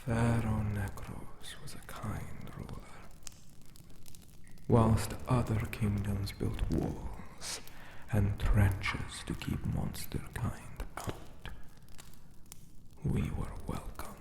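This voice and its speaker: Guy doing an ancient anubis monster for asmr, really slow and low, breathy, morbid and possessive, roomy echo. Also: flat delivery. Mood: solemn, wistful. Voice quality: breathy, half-whispered. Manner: formal, archaic, slow and menacingly tender. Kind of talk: whispered ASMR roleplay acting.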